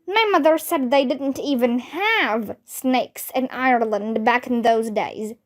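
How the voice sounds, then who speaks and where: Woman playing a high, prim know-it-all schoolgirl. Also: slow pace. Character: know-it-all schoolgirl quoting her mother.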